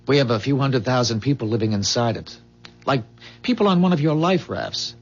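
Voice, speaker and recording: Man acting a husky, earnest visitor from the future. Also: medium pitch, expressive, close to an everyday voice. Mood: solemn, earnest. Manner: measured, explanatory, solemn. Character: calm, earnest male visitor from a dying future. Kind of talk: 1970s radio drama acting. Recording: dull, muffled 1970s radio drama recording.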